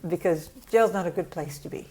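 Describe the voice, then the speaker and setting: A woman's voice: lowish voice, fairly quick, a little mellow, low-quality recording. A woman guest telling a wry anecdote with dry, self-deprecating humor. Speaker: podcast guest.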